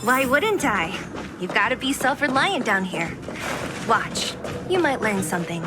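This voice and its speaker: A woman's voice: fairly high pitch, sing-song, a little slow. A woman voicing a tough, self-reliant young woman in a video game, blunt, confident and teasing. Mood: gruffly approving. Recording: game audio with music behind.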